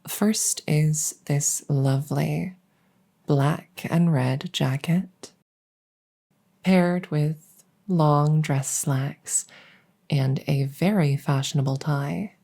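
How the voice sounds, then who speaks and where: A woman playing a devoted vampire maid in an ASMR roleplay, speaking softly and formally with soothing deference and gentle teasing. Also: sits low, steady tone, heavily acted. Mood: attentive, deferential. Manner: soft, breathy, deferential, unhurried formal phrasing. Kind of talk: ASMR roleplay, intimate personal-attention audio.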